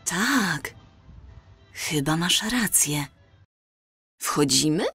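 Casting: actress playing young female time-traveller, tender and wistful, unhurried delivery, audio drama with music underneath. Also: deep voice, expressive, bright, forceful tone, husky, heavily acted. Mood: quiet. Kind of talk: Polish audio drama dialogue.

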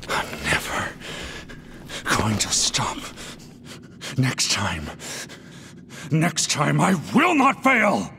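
An actor playing an anguished, obsessive villain in a video game, with a strained, gravelly voice and halting delivery. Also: male voice, fairly high pitch, huge pitch swings, noisy background, full character voice. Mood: defiant, straining. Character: anguished, obsessive male villain who refuses to stop. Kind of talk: dramatic video game acting.

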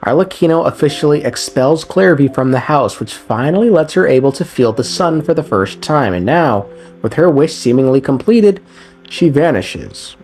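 A man's voice: on the high side, expressive, moderate pace, darkish tone. A man giving a casual, sarcastic recap commentary of a game story, with profane, irreverent humor. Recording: voice over background music. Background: background music.

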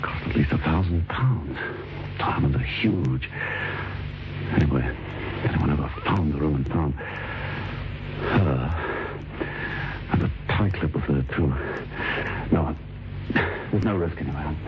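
An actor playing a rattled murderer muttering to himself in an old radio drama. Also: male voice, extremely low pitch, slow, pauses often. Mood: anxious muttering. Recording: dull old radio recording with music.

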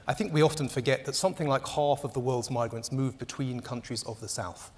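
A man's voice: mid-range voice, brisk. A man on a conference panel, polite and articulate. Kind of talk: panel discussion. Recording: long hall reverb.

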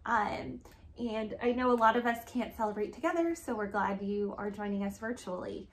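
A woman in her thirties hosting a children's story time, reading aloud and asking questions in animated, child-directed talk. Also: a little high, moderate pace. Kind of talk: picture-book read-aloud and chat for children. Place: living room, indoors. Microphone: camera a few feet away. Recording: echoey room.

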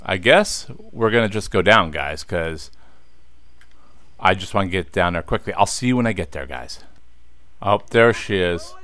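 A man's voice: sits low, lively intonation, moderate pace, a bit bright, no room sound. A man in his thirties chatting casually to viewers as he plays a game, easily frustrated and talking to them directly. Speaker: let's play host. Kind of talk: casual gameplay commentary.

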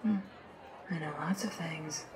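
A woman's voice: sits low, unhurried, some chatter behind. A woman playing a smug, mysterious taunter in an audio drama, half-whispering in a menacing drawl. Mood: smug, taunting.

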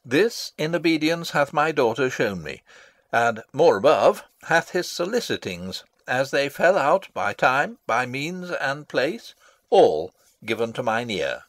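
Pompous old royal advisor, shakespeare style, slow n rambling, super swoopy pitch. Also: male voice, big pitch swings, a bit bright, no room sound. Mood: self-important, earnest. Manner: rambling, self-satisfied, theatrical. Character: pompous, long-winded old male royal counsellor and father. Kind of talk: classical Shakespearean stage acting, dramatic reading.

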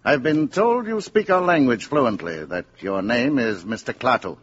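An actor playing a polite, careful American presidential secretary with hesitant diplomatic manners in a 1950s radio drama. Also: male voice, medium pitch, animated, moderate pace, husky. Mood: courteous, careful. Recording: muffled 1950s radio broadcast.

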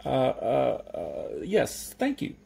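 A man's voice: on the high side, big pitch swings, moderate pace, darkish tone, husky, pitched above the performer's own voice, full character voice. A man voicing a flustered, socially inept storybook character who is polite, tentative and stammering. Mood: awkward, polite.

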